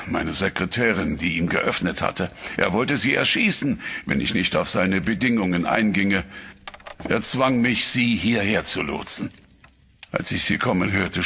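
An actor playing a frightened, guilt-ridden older professor with a gravelly, husky voice. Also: male voice, on the low side, bright, forceful tone, full character voice. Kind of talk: radio drama acting.

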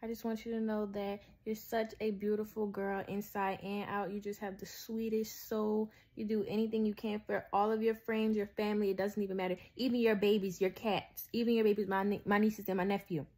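A woman's voice: medium pitch, flat delivery, fairly quick, hardly any pauses, a little mellow. A woman recording an affectionate, gushing birthday message for a younger relative.

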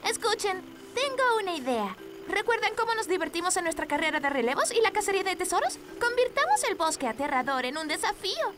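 A woman's voice: very high-pitched, fast. A woman with a Latin American accent voicing a cheerful, eager young girl who takes the lead in a children's cartoon dub. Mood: upbeat, encouraging. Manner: animated, swooping, eager. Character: cheerful, bossy-kind young cartoon girl, upbeat leader. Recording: children's music behind the voice.

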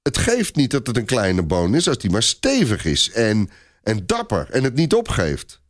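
A man's voice: on the low side, wildly swooping pitch, normal speed. A man voicing an anxious, stubborn little page boy, doubtful and protesting, in a storybook reading. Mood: hopeful.

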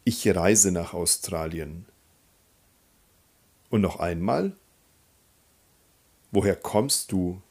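Guy teaching grammar drills, really slow and careful, bit of gravel in his voice. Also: low voice, a little mellow. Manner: deliberate, instructional, repeats sentences. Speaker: German language teacher. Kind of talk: scripted grammar drill, reading example sentences.

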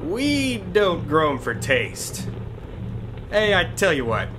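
Man playing a high-pitched flippant cultist. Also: wildly swooping pitch, slow pace, background noise, full character voice. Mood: apologetic, offhand. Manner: slangy, flippant. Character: casual, callous wise-guy virgin-sacrificing cultist. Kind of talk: campy audio drama acting. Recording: loud music behind.

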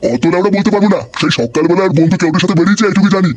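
A cranky old cartoon grandfather acted by a man, speaking very fast in a fairly high voice. He is gruff, scolding and foul-mouthed, over faint noise. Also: expressive. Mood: indignant, defensive. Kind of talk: Bengali comedy cartoon dubbing.

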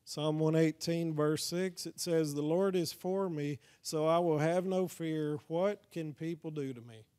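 This is a Texan man with a soft, warm-edged tone that sits slightly high for a male voice. He preaches like an evangelist leading a training session from the pulpit. His delivery is plain-spoken and folksy, and he keeps inviting the congregation to agree. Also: measured pace, hardly any pauses, smooth. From Texas, United States. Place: church, speaking to a congregation.

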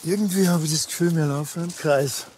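An actor playing a dry, impatient police detective in a radio drama. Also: male voice, a little high, a little fast, darkish tone, slight background noise, close to an everyday voice, rough recording.

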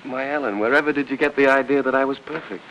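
A man's voice: mid-range voice, quick pace, a bit bright, slight background noise, natural voice, low-quality recording. An American actor in his thirties playing a cocky, flirtatious, wisecracking military pilot in a 1950s Hollywood film. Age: 30s. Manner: wisecracking, smooth-talking.

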